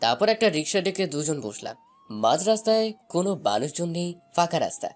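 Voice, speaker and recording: A man's voice: fairly high pitch, sing-song, brisk, soft background music. A man playing a shy young college student, narrating a romantic story in the first person.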